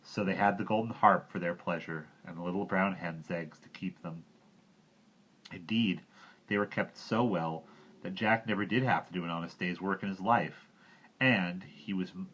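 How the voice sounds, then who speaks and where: A man with a low voice reads a children's tale aloud. His narration is expressive, shaped for listeners following a story, and the recording sounds a little dull and lo-fi. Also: slightly rough. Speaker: storybook reader.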